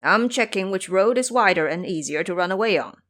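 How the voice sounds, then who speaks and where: A woman's voice: mid-range voice, lively intonation, brisk, fairly bright tone, lightly acted. A woman voicing a cowardly, wheedling pig-monster disciple in an audio drama, whining and grovelling as he pleads. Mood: coaxing.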